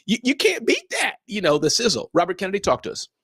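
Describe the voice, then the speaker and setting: Fast-talking energetic male show host. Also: sits high, husky, no echo, studio quality. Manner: energetic, shouting out viewers by name. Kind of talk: live online business show chat.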